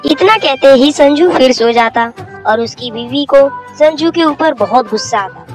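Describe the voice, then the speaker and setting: A woman narrates a scripted children's bedtime tale. Her voice sits fairly high, and soft music plays behind it. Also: expressive, unhurried. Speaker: story narrator.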